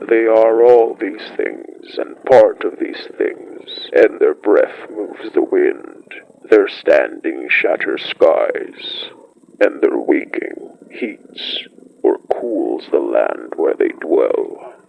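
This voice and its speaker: A man playing an ancient, solemn wolf-like pack elder in a horror audio drama, telling of old times in measured, poetic speech with a gravelly voice. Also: sits low, animated, muffled recording, heavily acted. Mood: ominous, awed. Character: ancient great wolf-like beast, solemn pack elder, recalling primeval winters. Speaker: storyteller. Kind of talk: scripted dramatic monologue, horror fiction podcast.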